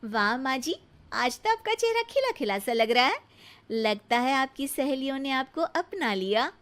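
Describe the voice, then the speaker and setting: A woman voicing a caring, eager young daughter-in-law in a cartoon moral story, polite and coaxing in an animated way. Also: sits high, measured pace, heavily acted. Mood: cheerful.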